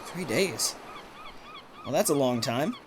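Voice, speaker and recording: A man's voice: a little high, wildly swooping pitch, slow, background noise, exaggerated voice. An actor playing a folksy, forward hotel keeper courting a woman, chatty and drawling, in old-time radio drama style. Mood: friendly, flirtatious. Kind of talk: 1940s radio acting, dialogue.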